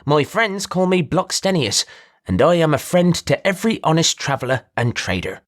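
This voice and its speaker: A man's voice: fairly high pitch, big pitch swings, moderate pace, voice pushed up. A man voicing a cheerful middle-aged male merchant, nasal, theatrical and good-natured. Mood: grand.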